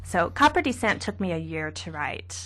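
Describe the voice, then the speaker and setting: A young woman author answering questions in a radio interview, soft-spoken with a gentle, light manner. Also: fairly low pitch, very animated, moderate pace, faint music behind. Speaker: author guest.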